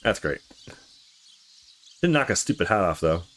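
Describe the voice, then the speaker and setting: A man's voice: low voice, big pitch swings, normal speed, a bit bright. A man giving casual let's play commentary on a game and reading its dialogue aloud.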